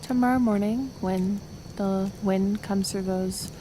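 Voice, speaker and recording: A woman playing a kind, stubborn, lonely half-elf healer in an intimate ASMR roleplay, speaking softly and hesitantly with soothing pauses. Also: mid-range voice, fairly even intonation, a little hiss or hum, natural voice, low-quality recording. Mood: shy, teasing.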